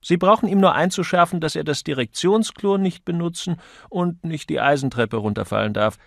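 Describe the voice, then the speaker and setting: A man putting on a high, blunt young woman. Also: animated, fairly quick, gravelly, a bit bright, no room sound, clean studio sound. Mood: brusque, mocking. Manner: brusque, exclamatory, mocking.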